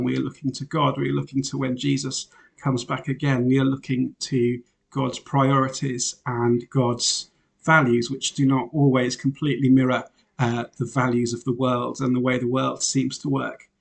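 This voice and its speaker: A man, a parish priest from Wales who grew up in England, introduces himself in an easygoing, conversational way. His talk is loose and unhurried, dotted with "uh" fillers, with a middling pitch. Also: gravelly. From Wales, raised in Northamptonshire, England. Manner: relaxed and chatty, many "uh" fillers, light laughs. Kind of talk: informal self-introduction to parishioners.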